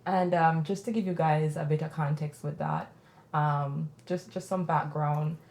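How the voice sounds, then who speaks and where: A woman's voice: deep voice, fairly even intonation, measured pace, darkish tone, reverberant. A Jamaican woman hosting a podcast, telling a personal story in a candid, reflective way. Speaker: podcast host.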